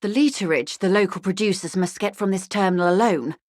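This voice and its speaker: An actress playing a wealthy corporate negotiator in a video game, refined and polished, speaking with urbane, wryly courteous, measured irony. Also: female voice, mid-range voice, a little mellow, no echo, clean studio sound, lightly acted. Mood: grave, businesslike. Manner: urbane, measured, dryly ironic. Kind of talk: scripted game dialogue, conversational.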